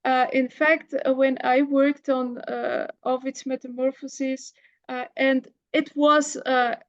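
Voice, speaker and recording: A woman's voice: high-pitched, little pitch movement, takes it slowly, no room sound, highs cut off. A middle-aged woman, a lecturer answering audience questions, hesitant with many fillers and restarts. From Bulgaria. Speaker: guest lecturer. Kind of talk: academic Q&A answer.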